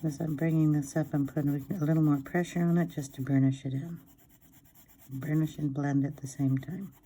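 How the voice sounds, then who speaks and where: A woman casually thinking aloud as she demonstrates a craft, murmuring and unhurried, absorbed in the task. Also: low-pitched, steady tone, warm tone, breathy edge, low-quality recording. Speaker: craft tutorial host.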